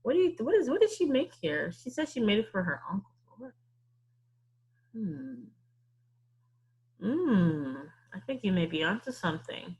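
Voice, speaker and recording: A woman's voice: mid-range voice, big pitch swings, moderate pace, long pauses, darkish tone, breathy edge, rough recording. A woman leading an interactive story-time lesson for young children, thinking aloud and asking exaggerated questions. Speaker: teacher leading a read-aloud.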